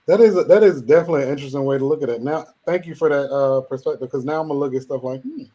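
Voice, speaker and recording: Co-host, man, mellow warm tone, relaxed joking questions. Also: mid-range voice, very fast. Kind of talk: casual interview.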